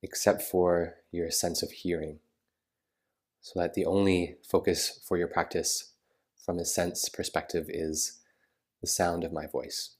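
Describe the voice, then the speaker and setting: Deep, even-toned male meditation guide. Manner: soothing, unhurried phrasing with pauses. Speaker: yoga nidra meditation guide.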